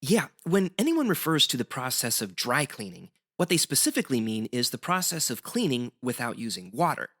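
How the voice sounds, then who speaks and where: Bright, animated man explaining history. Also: medium pitch, a little fast, few pauses. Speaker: guest explaining history facts. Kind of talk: semi-scripted educational banter.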